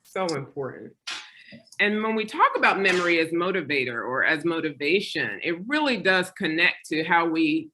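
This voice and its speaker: A woman's voice: on the low side, animated, normal speed, pushed and bright, slight background noise. A woman co-presenting a webinar, giving the audience instructions before a video is played. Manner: guiding, instructional. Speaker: workshop co-presenter.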